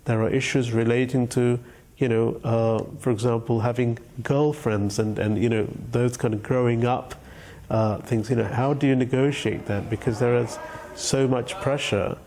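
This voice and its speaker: Middle-aged man, mellow, thoughtful halting interview. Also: medium pitch, fairly even intonation. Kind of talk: documentary interview. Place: office with bookshelves.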